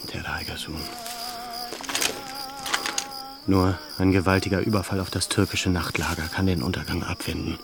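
An actor playing a resolute, commanding leader of a besieged mountain camp in a German radio play, speaking deliberately. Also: male voice, low voice, heavily acted. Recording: clear cricket noise behind.

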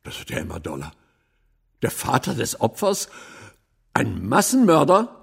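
An actor playing an impatient police president in a radio drama, sarcastic and blustering, firing pointed questions. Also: male voice, mid-range voice, wildly swooping pitch, measured pace, creaky, fairly bright tone, husky, exaggerated voice.

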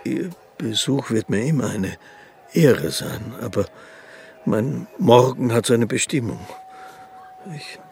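An actor playing a poor, gentle old street musician in a radio play, speaking formally and courteously with a halting, scrupulous manner. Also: male voice, mid-range voice, lively intonation, creaky. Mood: earnest, courteous. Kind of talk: radio drama acting. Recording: studio radio play with faint ambient noise.

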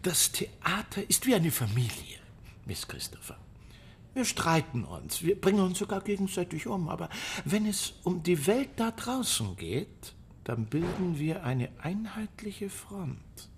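A sly gravelly theatre snoop. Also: male voice, high-pitched, big pitch swings, measured pace, slight background noise, full character voice. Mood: sly, insinuating. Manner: insinuating, oily, sly. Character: sly oily theatre man, prying and insinuating. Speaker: theatre employee. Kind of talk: radio play acting.

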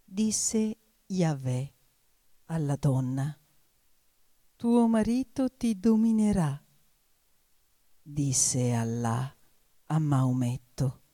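A woman giving a theatrical recitation, declamatory and deliberate, with weighted pauses. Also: deep voice, expressive, warm tone, dry recording.

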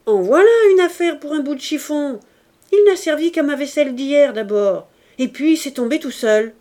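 A woman's voice: on the high side, big pitch swings, slow, mellow, breathy edge, exaggerated voice. A woman voicing a naive, slovenly young maid chattering earnestly. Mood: artless.

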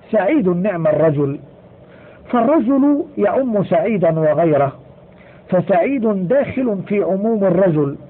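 A man reading a grammar textbook aloud in a measured way, slowing down to stress each example. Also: sits high. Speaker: audiobook reader. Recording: tinny phone-like audio.

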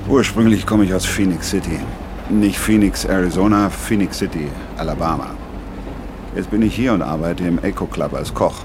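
An actor playing an easygoing, chivalrous middle-aged cook in an audio drama, chatting in an affable, folksy way. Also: male voice, sits low, moderate pace, noisy background, close to an everyday voice. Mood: friendly, easygoing. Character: kindly grey-haired cook, easygoing, chivalrous older man.

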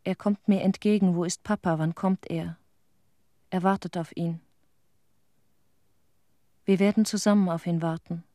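An actress playing a haunted, tender middle-aged widow in a radio play, speaking in halting short phrases of her dead husband. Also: lowish voice, fairly even intonation, warm tone, dry recording, studio quality, close to an everyday voice. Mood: desolate, resigned. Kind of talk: intimate radio play monologue.

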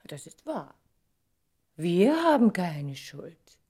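Husky, tender woman in love. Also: fairly low pitch, very animated, takes it slowly, warm tone, full character voice. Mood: quiet. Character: tender wistful woman reuniting with her first love. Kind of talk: intimate German radio drama acting.

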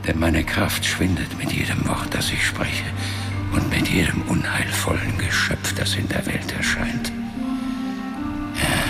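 Prominent music plays under a low, gravelly voice. a fading ghostly father from a fantasy radio drama. He speaks very slowly and gravely, with breath in every phrase. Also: exaggerated voice. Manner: slow, grave, deliberate. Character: weakening ghostly father appearing to his son.

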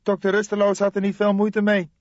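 A man's voice: high-pitched, completely flat delivery, brisk, no echo, highs cut off, pitched above the performer's own voice, close to an everyday voice. A man voicing a younger male police detective sidekick, nonchalant, eager and matter-of-fact, in an audiobook. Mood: nonchalant reporting.

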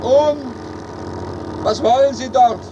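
High-pitched, exasperated male servant. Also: slow pace, lo-fi sound, noisy background. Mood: alarmed, insistent. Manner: declamatory, exasperated, pleading. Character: agitated, complaining male servant of a mad master. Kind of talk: theatrical radio play of ancient comedy.

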